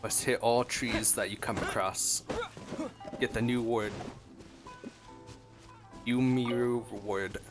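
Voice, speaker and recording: A man's voice: mid-range voice, fairly even intonation, takes it slowly, pauses often. A man doing casual, playful gameplay commentary as a streamer, rambling as he thinks aloud. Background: game music.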